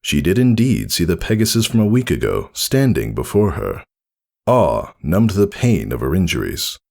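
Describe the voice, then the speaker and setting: Male narrator for fantasy storybook: low, gravelly, highly expressive intonation. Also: moderate pace. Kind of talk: scripted storybook narration, dramatic audiobook reading.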